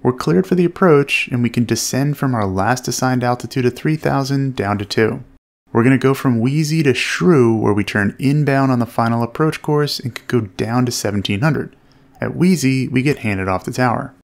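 Male instructional narrator, measured and explanatory, noticeable vocal fry. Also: medium pitch. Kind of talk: explanatory instructional commentary over flight footage.

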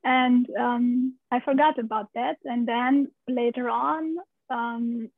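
A woman's voice: fairly high pitch, fairly even intonation, takes it slowly, dry recording. Woman, thirties, open storyteller, dry tinny video-call sound. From Romania. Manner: open storyteller, laughs often, gestures while talking. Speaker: guest, pelvic steam practitioner. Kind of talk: interview over video call. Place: living room at home. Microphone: video call, laptop mic.

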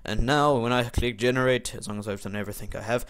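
A man's voice: mid-range voice, fairly even intonation, fast, a bit bright, smooth. A teenage boy giving casual, rambling commentary over a screen recording, muttering and mocking himself as he types. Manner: rambling, self-mocking, muttering while typing. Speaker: video maker.

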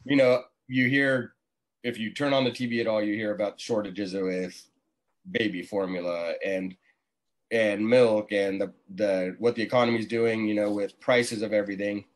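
A man in his forties speaks in a fairly low voice. He talks in an easygoing, conversational way, like a tradesman explaining his work in a casual interview over a video call. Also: steady tone, moderate pace. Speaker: remodeling contractor, guest. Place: small indoor room, video call. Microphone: computer mic on a video call.

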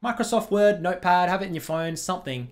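A man's voice: high voice, lively intonation. Blunt young man commentating a game. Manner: blunt, critical, quick asides. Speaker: streamer commentating a replay. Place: small room at home. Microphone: headset.

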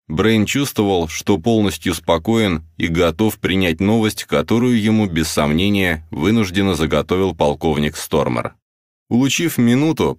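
A man's voice: low-pitched, no room sound. A man narrating a novel as a scripted audiobook, close to the microphone.

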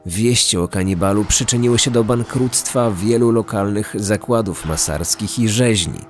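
A man narrates a true-crime podcast in a low voice. His delivery is scripted, in documentary style, with faint music running underneath. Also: normal speed.

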